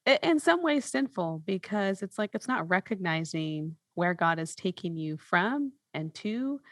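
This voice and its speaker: A woman's voice: on the low side, big pitch swings, unhurried, no room sound. A woman hosting a podcast in a casual, conversational style, thinking aloud informally as she talks. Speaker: podcast host.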